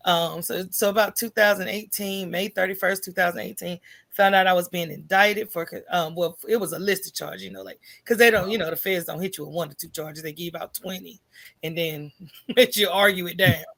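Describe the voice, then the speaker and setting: Middle-aged woman with a creaky voice. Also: lowish voice, steady tone, brisk, fairly bright tone, no room sound. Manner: candid, informal. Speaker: podcast guest, small business owner. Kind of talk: conversational personal storytelling.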